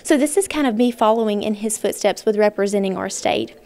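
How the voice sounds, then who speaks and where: A woman's voice: mid-range voice, brisk, gravelly, darkish tone. A young woman from Mississippi introducing herself as a pageant contestant in a poised, sincere way. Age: young adult. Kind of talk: casual self-introduction.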